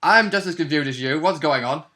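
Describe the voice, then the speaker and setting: A man's voice: on the high side, fairly quick, bright, forceful tone. A man co-hosting as a player, bantering casually about a tabletop game. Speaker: co-host and player.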